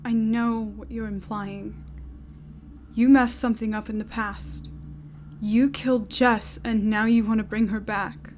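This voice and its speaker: A woman's voice: fairly high pitch, fairly even intonation, measured pace, darkish tone, slight background noise, natural voice. A woman acting in an audio drama as a resolute, bitter young woman, blunt and commanding. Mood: cold, determined.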